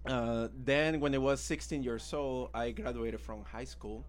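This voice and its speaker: A man's voice: medium pitch, a little slow. A man in his thirties with a Venezuelan accent, a native Spanish speaker, casually telling his own story as an interview guest. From Caracas, Venezuela. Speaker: interview guest, illustrator and art teacher.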